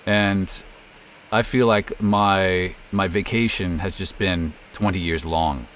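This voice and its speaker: Very deep-voiced middle-aged American man. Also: fairly even intonation, takes it slowly, a bit bright, a little hiss or hum. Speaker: interview guest, voice actor and narrator. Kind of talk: casual interview, telling career story.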